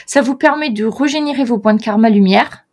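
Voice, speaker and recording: A woman's voice: a little high, a little fast, a little mellow. A woman running a tabletop role-playing game as game master, often hesitating with fillers and restarts.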